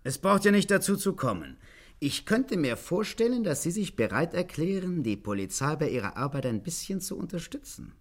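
A wily police inspector with a highish, very melodic voice. He needles and insinuates with smug patience, in vintage German radio play style. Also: big pitch swings, moderate pace. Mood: insinuating.

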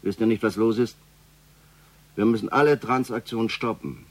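A man's voice: lowish voice, steady tone, normal speed, lightly acted. An actor playing a domineering, menacing crime boss in a radio drama, curt and sarcastic as he gives orders. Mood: irritated, scornful. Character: domineering, menacing male boss of a criminal gang.

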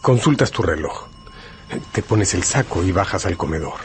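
A man's voice: deep voice, lively intonation, moderate pace, a little hiss or hum. A man narrating a radio drama in a scripted, dramatic storytelling style. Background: music.

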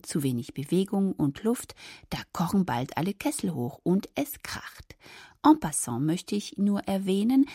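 A woman's voice: lowish voice, dark tone, breathy edge, dry recording, clean studio sound. A woman hosting radio, giving a scripted, wryly conversational introduction to a drama.

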